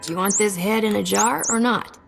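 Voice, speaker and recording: A woman's voice: medium pitch, a little fast, gravelly, soft background music, natural voice. An actress playing a sardonic, self-assured female smuggler captain in a video game, wry and blunt.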